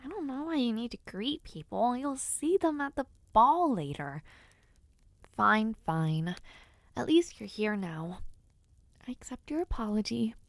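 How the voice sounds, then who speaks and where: A woman's voice: mid-range voice, dry recording. A young woman voicing a spirited, playful noblewoman knight in an audio roleplay, chatty and teasing. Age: young adult.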